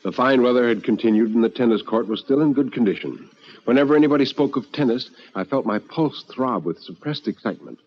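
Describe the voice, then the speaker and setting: A man narrating a first-person story in a theatrical, measured and intense old radio drama style. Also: mid-range voice, expressive, slight background noise. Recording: dull old radio recording with music.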